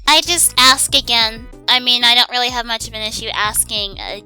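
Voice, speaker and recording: A woman's voice: a little high, normal speed, sharp tone, rough recording. A young woman co-hosting a fan Q and A in casual, teasing banter. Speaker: co-host answering fan questions. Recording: music under the voice. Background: background music.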